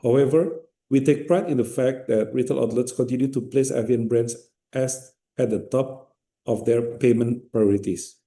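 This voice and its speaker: Indonesian accented guy reading earnings presentation, really mellow dark tone, formal and measured. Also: medium pitch, steady tone. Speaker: company executive presenting results.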